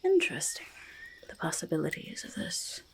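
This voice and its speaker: A woman voicing a quirky, stubborn goblin who loves shiny treasures, in a halting, breathy, creaky ASMR whisper, speaking in third person. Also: close to an everyday voice, poor audio. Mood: soft fascination. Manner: halting, childlike third-person speech, easily argumentative. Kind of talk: soft-spoken ASMR roleplay, mostly whispered.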